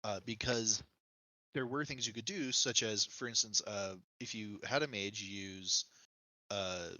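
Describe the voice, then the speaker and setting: A man with a slightly low but very bright, forceful voice chats on a gaming podcast. He explains things by working through examples, and the recording is dry and close. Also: a little slow, no echo, low-quality recording. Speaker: podcast co-host or guest. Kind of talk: casual gaming podcast discussion.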